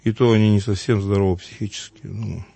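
Priest from Uglich: low-pitched man, unhurried anecdotes, close dry mic. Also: level tone, gravelly, mellow, dry recording. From Uglich, Russia. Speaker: Orthodox priest. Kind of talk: conversational storytelling.

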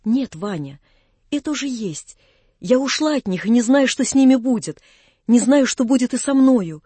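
A narrator plays a young woman in a literary audiobook, anguished yet resolute. She speaks in a hushed, breathless, despairing way, in a dry recording. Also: medium pitch, animated, normal speed, slightly rough, no echo. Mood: resigned despair.

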